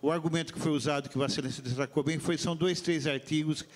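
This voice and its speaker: A man in his fifties with a São Paulo accent speaks into a handheld microphone at a council meeting. His voice sits a little high, and he stumbles over words as he goes. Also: fairly even intonation, fast talker. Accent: Brazilian Portuguese, São Paulo state. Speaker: city councilman. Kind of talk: council floor remarks.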